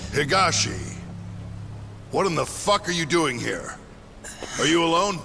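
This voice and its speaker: An actor playing a menacing, sardonic yakuza captain who mocks and threatens in a video game cutscene. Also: male voice, on the high side, lively intonation, vocal fry, sharp tone, slight background noise, heavily acted. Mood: angry surprise. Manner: mocking, deliberate, threatening. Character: menacing, sardonic male yakuza captain, coldly toying with people.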